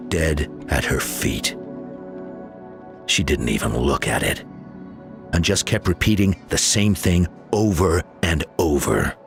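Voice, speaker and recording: Low voiced caring game chief, grave, lots of rise and fall, soft music behind. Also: male voice, gravelly, heavily acted. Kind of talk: dramatic video game storytelling.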